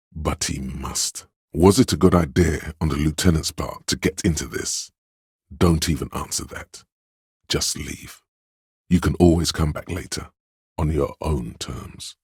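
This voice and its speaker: An actor playing a stern, commanding inner voice of police authority in a video game, giving imperious, clipped orders. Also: male voice, a bass voice, gravelly, darkish tone, breathy edge, no room sound, studio quality, exaggerated voice. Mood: grave, ominous. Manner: imperious, clipped orders, addressing listener as recruit. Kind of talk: video game character narration.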